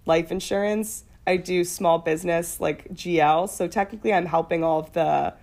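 Young woman, deep-voiced, chatty and laughing, casual conversation. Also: fairly even intonation, normal speed, vocal fry, darkish tone. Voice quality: fairly deep voice. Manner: laughing, chatty, swears freely. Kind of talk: casual chat.

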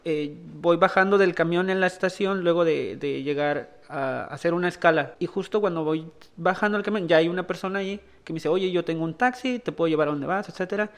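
A man hosting a casual interview, his voice set noticeably high for a man. He talks in a friendly, slangy way and drops "bro" and "güey" into his questions. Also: brisk, hardly any pauses. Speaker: podcast host.

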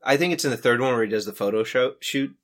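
A man co-hosting a podcast in casual comedic chat, telling stories in an animated way and laughing often. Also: medium pitch, fast talker. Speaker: podcast co-host. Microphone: desk USB condenser mic.

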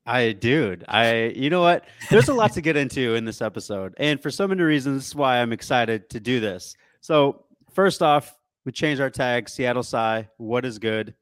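A man's voice: mid-range voice, animated, normal speed, clean tone, dry recording. A man co-hosting a podcast, chatting casually about sports in a slangy, mildly sweary way. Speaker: podcast co-host.

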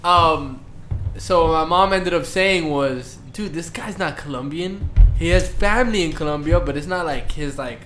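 A man's voice: fairly high pitch, moderate pace, bright, forceful tone, faint music behind. A young man co-hosting a podcast, joking and defending himself while talking over his friends. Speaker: podcast co-host. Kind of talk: casual chat among friends.

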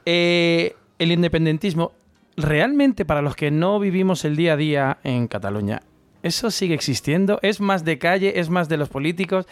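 A man hosts an interview, asking casual questions and affirming his guest's answers. His voice sits a little high. The recording is close and dry. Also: animated, moderate pace, no echo, faint music behind. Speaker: host.

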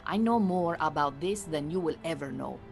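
A proud Iranian refugee woman with a low voice, in an audio drama. She speaks formally and precisely, dry and disillusioned, with music and rain behind her. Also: lively intonation, normal speed, creaky, a little mellow. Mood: stern. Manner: formal, dry, exacting phrasing. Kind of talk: naturalistic audio drama acting.

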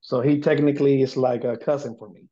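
A man's voice: mid-range voice, steady tone, moderate pace, dark tone, lo-fi sound. A man in his forties with a Puerto Rican accent, chatting as an interview guest and mixing Spanish and English with lots of fillers. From Puerto Rico. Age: 40s. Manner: switches between Spanish and English, many fillers. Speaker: guest, basketball agent. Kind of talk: casual interview talk.

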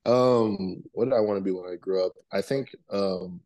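A man's voice: sits low, normal speed, darkish tone, dry recording. A man in his twenties co-hosting a podcast over a video call, laid-back and slangy. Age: 20s. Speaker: podcast co-host. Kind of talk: casual chat.

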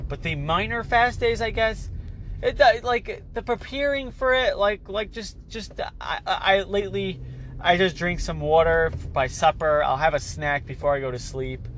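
A man's voice: high voice, normal speed, fairly bright tone, a little hiss or hum. A man with a cold and a nasal, stuffed-up voice, chatting casually as a podcast host in a rambling way, stumbling and repeating words as he restarts sentences. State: has a cold, congested. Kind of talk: casual solo chat.

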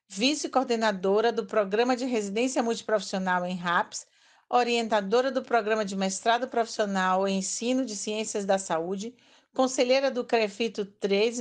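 Bahian woman host reading introductions. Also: a little high. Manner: reads long credentials in a steady, measured way. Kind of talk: scripted guest introductions.

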